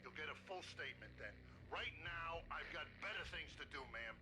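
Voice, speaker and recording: An actor playing an impatient police deputy chief in a video game, curt and authoritative, on a noisy recording. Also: male voice, on the high side, animated, a little fast, close to an everyday voice.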